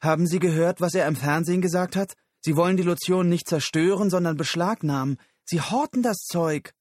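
A man's voice: medium pitch, expressive, normal speed, darkish tone, slightly rough, dry recording, clean studio sound, pitched above the performer's own voice. A man voicing a furious, combative woman with agitated, emphatic outbursts, in an audiobook story. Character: furious, combative woman activist, fiery and urgent.

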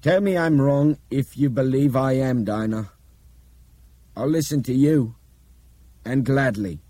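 Man acting an urgent, commanding Englishman, raised pitch. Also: expressive, moderate pace, dark tone, husky, dry recording. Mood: firm, controlled. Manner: commanding, clipped urgency with polite turns of phrase. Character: urbane Englishman, forceful, grim and urgent.

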